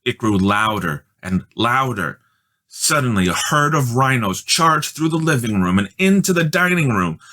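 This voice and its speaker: A man reading a children's storybook aloud as a dramatic, expressive narrator. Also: medium pitch. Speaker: storybook reader.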